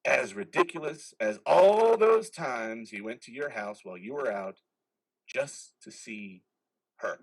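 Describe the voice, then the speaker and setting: A man's voice: mid-range voice, expressive, measured pace, creaky, crowd noise, rough recording. A man playing a taunting, menacing imagined voice in a veteran's head, sneering and needling in a dramatic play reading. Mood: sneering, goading. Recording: remote reader's theater call.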